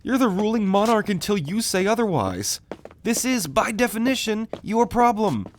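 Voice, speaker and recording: A man's voice: sits high, sing-song, moderate pace, a little hiss or hum. A man playing a principled, loyal young prince in a fantasy audio drama, speaking in an earnest, courteous, formal way. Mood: earnest, supportive. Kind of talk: fantasy audio roleplay acting.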